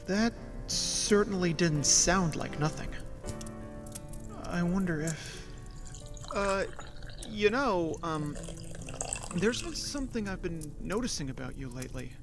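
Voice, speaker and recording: Man acting an animated, awkward young everyman. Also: high voice, over music, heavily acted. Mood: shy, nervous. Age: late 20s. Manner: stammering, halting. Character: awkward, frustrated ordinary office worker in his late twenties. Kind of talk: audio drama acting.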